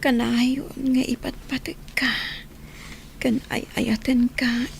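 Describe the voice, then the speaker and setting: A woman's voice: a little high, little pitch movement, takes it slowly, a little hiss or hum. A woman acting a tender, ailing woman in an Ilocano-accented radio drama, speaking in a weak, whispery, pleading voice. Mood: weak, sorrowful. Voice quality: breathy, whispery.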